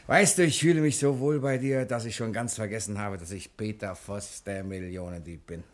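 An actor playing a genial, quick-witted fugitive rogue in a radio comedy drama, jaunty, chatty and bossy. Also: male voice, on the low side, natural voice. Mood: easygoing, wry.

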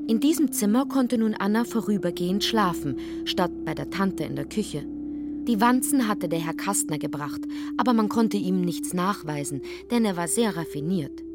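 A woman's voice: medium pitch, lively intonation, normal speed. A woman narrating a scripted literary radio play as its storyteller. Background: music.

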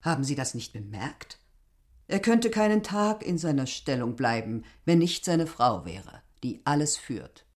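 A woman's voice: extremely low pitch, sing-song, measured pace, a little mellow, exaggerated voice. An actress playing a proud, domineering village landlady in a literary radio drama, speaking in a formal, condescending way. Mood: scornful.

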